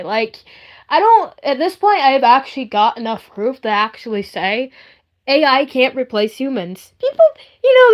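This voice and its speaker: A young woman chatting casually on a live stream in a rambling, hesitant way, often repeating herself. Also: on the high side.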